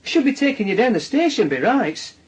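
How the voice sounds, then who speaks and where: An actor playing a persistent, coaxing police detective with a Northern English accent and dry irony, in a naturalistic radio drama. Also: male voice, very high-pitched, sing-song, a little fast, husky, heavily acted. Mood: coaxing, insistent. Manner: coaxing, dryly ironic. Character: wheedling, persistent plain-spoken police detective.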